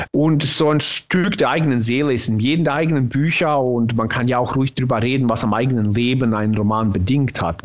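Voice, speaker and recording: A man with a Bosnian accent, native in Bosnian, talking thoughtfully about his own novel and pausing often to find the right words. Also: mid-range voice. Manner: thoughtful, searching for words with restarts.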